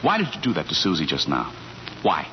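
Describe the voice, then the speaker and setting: An actor playing a charming, flustered husband juggling lies, in 1940s radio comedy style. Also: male voice, deep voice, huge pitch swings, a little fast. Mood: flustered, indignant. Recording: noisy muffled 1940s radio recording.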